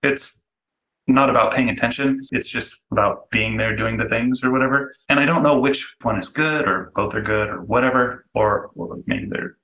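Bright-voiced man, casual and tentative. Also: medium pitch, normal speed, rough recording. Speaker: participant asking a question. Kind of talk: casual question and comment in group discussion.

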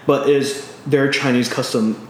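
A man's voice: medium pitch, fairly even intonation, normal speed. A man co-hosting a casual podcast, retelling a film at length with lots of ums and restarts. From California. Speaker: podcast co-host. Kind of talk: casual chat. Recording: very echoey room with faint noise.

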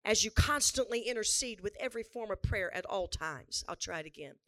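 A hoarse woman pastor preaching a revival sermon in a church, repeating lines for emphasis and building to shouts. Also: mid-range voice, expressive, brisk, no room sound. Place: church sanctuary before a live congregation.

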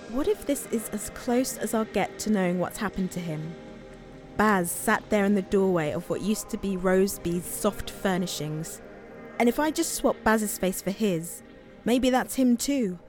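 A woman's voice: medium pitch, a little mellow, lightly acted. An actress playing a reflective, searching sister in an audio drama monologue, telling her story introspectively.

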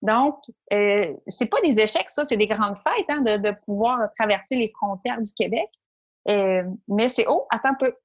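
A woman from Quebec with a Québécois French accent, an entrepreneur telling her story in an interview, lively and animated, often quoting her own inner voice. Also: medium pitch, normal speed, slightly rough. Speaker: entrepreneur guest. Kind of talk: interview conversation.

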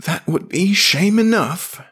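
A man voicing an anxious male priest, pleading urgently in a hushed, breathy manner. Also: high voice, expressive, slow, voice pushed up, heavily acted.

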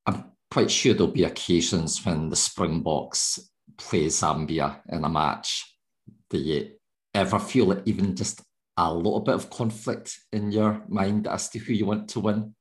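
A man's voice: sits low, measured pace. A man hosting a casual interview podcast, chatty and teasing, with rambling questions. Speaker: podcast host.

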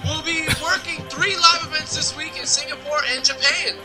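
A man hosting a comedic wrestling news segment with banter, speaking in an animated, mock-earnest way. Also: very high voice, moderate pace. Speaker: host reading wrestling news. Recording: music playing behind the voice. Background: music.

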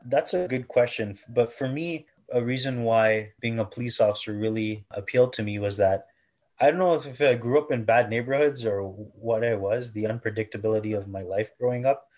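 A man in his twenties from Canada, earnestly and thoughtfully telling his own story in an interview, at times pausing to correct himself. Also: mid-range voice, darkish tone. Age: 20s. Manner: earnest, measured, self-correcting. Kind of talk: reflective personal testimony.

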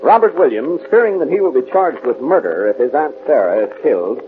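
An actor narrating a crime story as a dramatic radio announcer in 1940s style. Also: male voice, mid-range voice, expressive, brisk, close to an everyday voice. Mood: dramatic, emphatic. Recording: muffled 1940s radio recording. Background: music.

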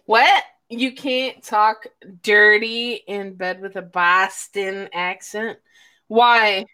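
A woman's voice: medium pitch, steady tone, drawn-out words, bright, forceful tone, clean tone. A woman from Illinois hosting a casual live chat, a little drunk, loose and laughing, swearing freely. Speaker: host.

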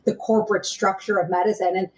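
A woman's voice: mid-range voice, level tone, fast. A surgeon in her thirties speaks candidly as an interview guest, her mid-range voice loose and conversational. She leans on ums and you-knows as she talks it through. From Arizona, USA. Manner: candid, chatty, with many fillers like um and you know.

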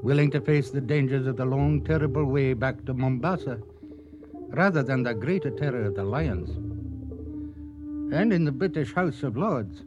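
A man giving dramatic scripted radio narration in a grave, measured, theatrical manner. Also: mid-range voice. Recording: muffled radio recording, loud music behind.

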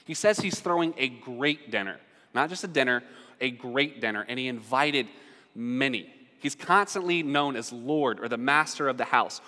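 A man preaching a sermon as a pastor, conversational and quick to ask his listeners questions. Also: medium pitch, sharp tone. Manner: conversational, asks the audience questions. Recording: long hall reverb.

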